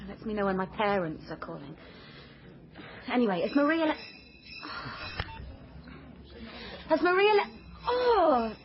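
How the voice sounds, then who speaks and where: A woman's voice: on the high side, wildly swooping pitch, normal speed, background noise. An actress playing a naive, dreamy, chatty young woman in a radio drama, wry and melodramatic, by turns narrating and flustered. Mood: indignant. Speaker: lead character and narrator. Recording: dull lo-fi radio sound under music.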